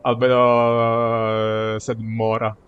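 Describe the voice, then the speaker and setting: A man streaming a game with casual live commentary, halting and rephrasing as he goes. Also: medium pitch, completely flat delivery, smooth, dull audio.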